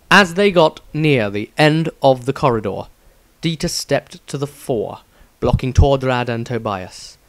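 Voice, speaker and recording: A man reading a scripted fantasy adventure story as a dramatic narrator. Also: mid-range voice.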